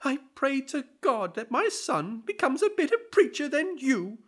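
Narrator putting on a shrill old lady, very high, slow and strained, faint music underneath. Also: sing-song. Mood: indignant. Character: elderly mother, shrill and exasperated.

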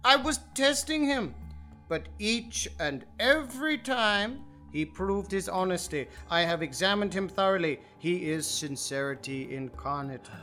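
A narrator voicing a calm, reassuring friend who explains in a measured, earnest way, with faint music behind. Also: medium pitch, voice pushed up.

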